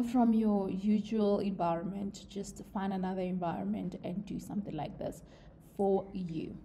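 A woman's voice: medium pitch, darkish tone, a little hiss or hum. A woman vlogging outdoors, talking casually and reflectively to her viewers. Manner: slow, reflective, chatty. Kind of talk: casual talk to camera. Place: outdoors, windy.

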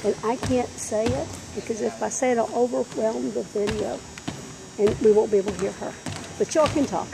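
A woman's voice: fairly high pitch, fairly even intonation, moderate pace, creaky, poor audio. A middle-aged woman who is the instructor, making encouraging, chatty remarks to a gym class. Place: large indoor gymnasium.

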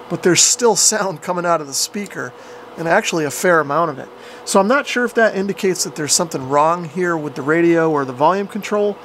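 A man with a slightly high voice walks through equipment in a casual, explanatory style. He is picked up by a camera microphone indoors, with a faint fan hum around him. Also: a bit bright. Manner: explanatory, informal. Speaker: radio hobbyist demonstrating equipment. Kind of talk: casual tutorial narration. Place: indoor workshop with radio gear. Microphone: camera microphone at a short distance. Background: cooling fan noise.

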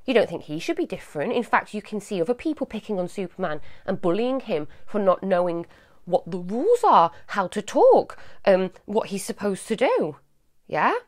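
Loud, animated woman in her thirties. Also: medium pitch, moderate pace. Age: 30s. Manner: animated, loud, jumps between topics. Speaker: advice show host. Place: at home, walking through a child's room.

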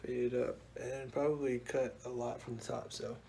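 A man's voice: medium pitch, little pitch movement, normal speed, gravelly, mellow. A young man chatting casually and informally to camera as a vlogger, recorded at arm's length. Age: young adult. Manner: slangy, informal. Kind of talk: casual vlog chat. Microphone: phone or camera at arm's length. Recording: noisy handheld camera recording.

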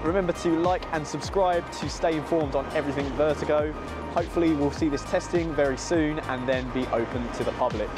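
A British man in his twenties presenting an update vlog to camera outdoors as the host. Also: on the high side. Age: 20s. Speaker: theme park vlog host. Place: outdoors by a seaside amusement park. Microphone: small clip-on mic on jacket, filmed at arm's length.

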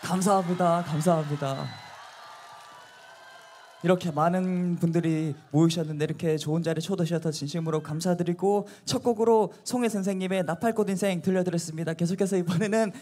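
A man of thirty, a singer, chatting warmly and gratefully with a crowd between songs at an outdoor night concert. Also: sits high, fairly even intonation, brisk. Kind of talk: stage banter between songs. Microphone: handheld microphone. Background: loud band music.

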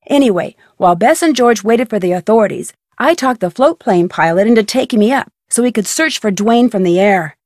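A clear-headed young woman detective narrates a video game story in a slightly high voice, recorded very dry. Her storytelling is measured and articulate. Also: darkish tone, no echo. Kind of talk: scripted first-person game narration.

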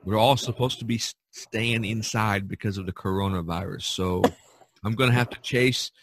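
Low-voiced, easygoing male podcast host. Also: normal speed, a bit bright, a little hiss or hum. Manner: rambling, easygoing, laughs often. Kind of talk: casual chat among artists.

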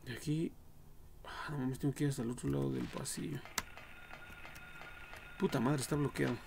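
A young man with a Mexican accent giving casual, slangy, foul-mouthed commentary on a horror game livestream, reacting out loud as he plays. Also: medium pitch, fairly even intonation, moderate pace, creaky. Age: young adult. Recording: noisy home livestream with clicks and typing.

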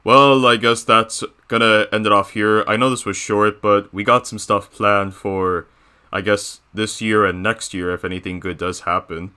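Man voicing a bright, low, bossy cartoon bear. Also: fairly even intonation, normal speed, clear, resonant tone. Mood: casual, conspiratorial. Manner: bossy, self-satisfied, rambling. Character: boastful, bossy, threatening cartoon bear boss. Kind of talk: improvised character reaction banter.

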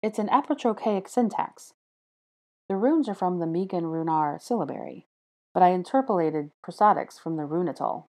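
A woman voicing a brisk, bossy, clever young woman teacher, crisp and lecturing. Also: fairly low pitch, animated, a little mellow. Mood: brisk teasing.

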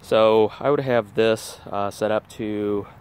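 A man filming a casual how-to video outdoors in a yard, talking in a relaxed, rambling way with lots of fillers while holding the camera. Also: on the low side, slow, clean tone. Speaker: vlogger showing a DIY kayak rack.

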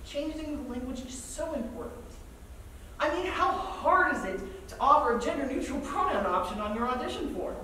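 A woman's voice: high voice, expressive, normal speed, sharp tone. A woman playing an earnest, exasperated theater professional in a staged reading, recorded from far off in a theater. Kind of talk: live staged play reading. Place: large theater stage, indoors. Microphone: far camera in the audience. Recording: distant, very echoey theater, faint noise.

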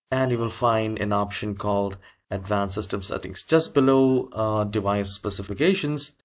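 A man hosting a step-by-step software tutorial, speaking in an instructional way and repeating key steps. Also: fairly low pitch. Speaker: tutorial host.

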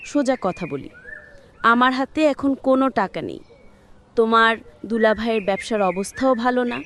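A woman's voice: sits high, a little hiss or hum. A woman playing a stingy, dismissive middle-aged aunt in a cartoon drama, with a blunt manner.

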